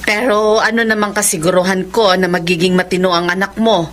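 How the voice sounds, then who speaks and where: A woman plays an older Filipino mother in a radio drama, coy and skeptical of flattery. Her voice is bright and sharp, scolding in play, over faint ambience. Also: mid-range voice, fairly quick, a little hiss or hum, natural voice. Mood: amused, doubtful. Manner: playfully scolding, amused.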